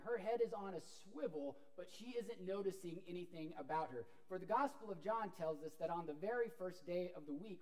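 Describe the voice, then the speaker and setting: A middle-aged man preaching a sermon as a pastor, telling an animated story while moving about a church sanctuary. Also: high-pitched, low-quality recording. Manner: animated storytelling with wide gestures, walking about.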